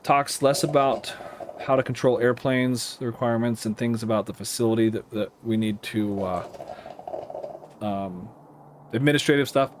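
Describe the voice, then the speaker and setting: A man with an American accent giving casual, explanatory commentary over a simulator game, thinking aloud in a halting, rambling way. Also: mid-range voice, a little hiss or hum. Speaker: air traffic controller streaming a simulator game.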